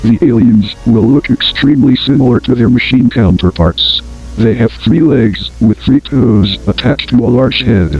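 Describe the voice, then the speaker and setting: Deadpan man as a lo-fi instructional tape announcer. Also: medium pitch, natural voice. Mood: grave, detached. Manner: formal, measured, deadpan. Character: grave male announcer of an emergency survival tape. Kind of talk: scripted instructional tape narration, analog horror. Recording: dull lo-fi old tape, music behind.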